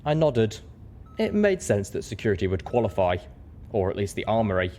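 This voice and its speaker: Low-voiced man narrating a story. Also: very animated, a little fast, dark tone, a little hiss or hum. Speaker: narrator of a reading show. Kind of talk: scripted first-person story narration.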